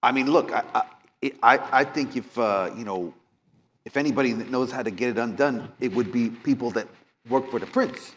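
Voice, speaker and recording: Halting man improvising at a game table. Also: medium pitch, a little hiss or hum. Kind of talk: improvised in-character game chat.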